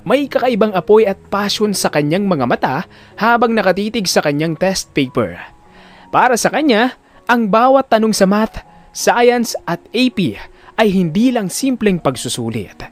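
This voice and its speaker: High-voiced male dramatic story narrator. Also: sing-song, moderate pace.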